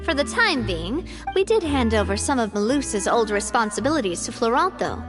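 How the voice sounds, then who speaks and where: A woman's voice: fairly high pitch, sing-song, moderate pace. An actress playing a cheerful young woman who leads her group, playful and self-deprecating, in a game cutscene. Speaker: group leader. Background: soft game music.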